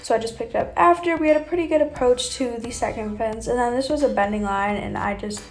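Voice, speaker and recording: A woman's voice: a little high, fast. A young woman giving a chatty, informal vlog voiceover as a horse rider. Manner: chatty, informal, hedging with kinda and you know. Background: background music.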